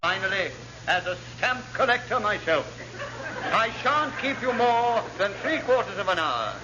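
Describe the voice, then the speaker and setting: Through heavy noise comes a pompous old dignitary, high in pitch and swinging widely as he orates. He is muddled and rambling, in the manner of classic radio sitcom. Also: very animated, moderate pace. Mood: pompous, befuddled. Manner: bumbling, oratorical, rambling. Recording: muffled, very noisy old radio recording.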